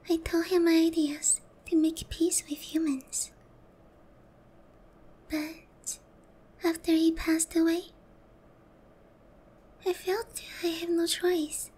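A woman playing a gentle, remorseful young demon lord in a soft, breathy whispered ASMR roleplay, tender and hesitant. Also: very high voice, flat delivery. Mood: wistful. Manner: slow, tender, hesitant, with long pauses.

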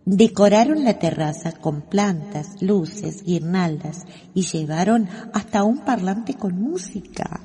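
A mellow storytelling neighbor played by a woman in a radio play. Her delivery is very varied in pitch, with faint music underneath. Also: animated, slow pace. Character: female neighbor recounting events as storyteller.

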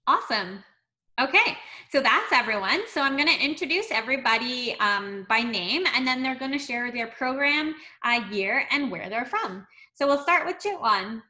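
A woman's voice: high-pitched, lively intonation, moderate pace, bright, forceful tone. A young woman hosting a video-call Q&A panel, upbeat, with frequent fillers. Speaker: webinar host.

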